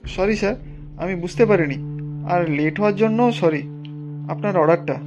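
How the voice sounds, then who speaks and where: A man's voice: high voice, brisk, highs cut off, over music. An actor playing a polite, humble young delivery boy in a cartoon, respectful and earnest. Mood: pleading. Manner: pleading, respectful. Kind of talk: animated cartoon dialogue.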